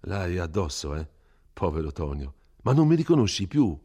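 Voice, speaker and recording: A man's voice: sits low, big pitch swings, moderate pace, dark tone. A man voicing an earnest, impatient young peasant who asks direct, insistent questions in an audiobook reading. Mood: pitying.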